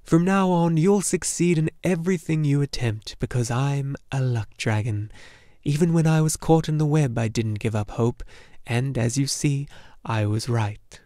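A man's voice: medium pitch, moderate pace, warm tone, no echo, natural voice. Male luck dragon, upbeat and hopeful, dry read, by a male narrator. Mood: cheerful.

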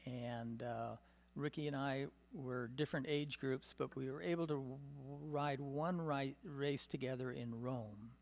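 A man's voice: medium pitch, fairly even intonation, unhurried. An older man at a symposium table telling rambling stories of his racing past, with frequent "uh" and "um" fillers and small chuckles, speaking into a desk microphone in a meeting room. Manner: storytelling with many "uh" and "um" fillers, chuckling. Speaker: symposium panelist. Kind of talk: rambling personal reminiscence. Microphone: desk microphone on a stand.

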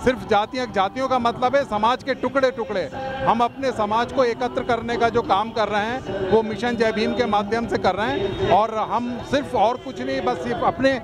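High-voiced middle-aged man at a rally. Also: fairly even intonation, moderate pace, over music. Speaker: rally organizer. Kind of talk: earnest explanation. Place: city street at a rally. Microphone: reporter's handheld mic.

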